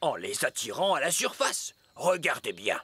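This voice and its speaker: Animated, know-it-all cartoon dad. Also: male voice, medium pitch, normal speed, breathy edge, no echo, full character voice. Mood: instructive. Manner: explanatory, emphatic. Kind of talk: French cartoon dubbing. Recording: music behind the voice.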